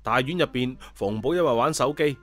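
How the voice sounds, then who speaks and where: A man with a Cantonese accent narrating a story in a lively, colloquial scripted style. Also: medium pitch, brisk. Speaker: audiobook storyteller. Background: background music.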